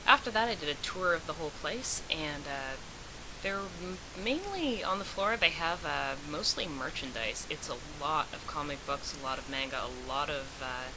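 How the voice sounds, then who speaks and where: A woman's voice: deep voice, expressive, moderate pace. A young woman giving a casual vlog recap, speaking as if to friends from a room at home. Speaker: vlogger recounting a fan convention. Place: small room at home, indoors. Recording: noisy home recording with hiss.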